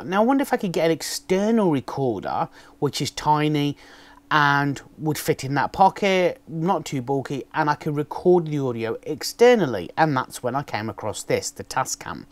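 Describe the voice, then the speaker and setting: A man, casually chatting through an unboxing, with a naturally loud voice and a rambling way of talking full of ums. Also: on the high side, dry recording. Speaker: motovlogger reviewing gear. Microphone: external microphone on a camera.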